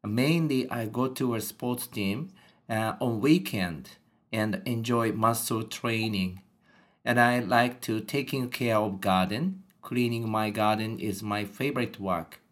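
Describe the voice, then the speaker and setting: A man in his forties from Japan with a Japanese accent, a native Japanese speaker talking in careful, halting learner English as a podcast host in an online lesson. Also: on the low side. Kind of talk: casual English lesson conversation.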